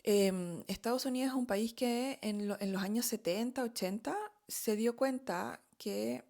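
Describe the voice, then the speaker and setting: A woman's voice: fairly high pitch, unhurried, vocal fry, a little mellow. A middle-aged woman from Chile with a Chilean Spanish accent, telling her own story in a candid, chatty monologue, often checking in with "¿ok?".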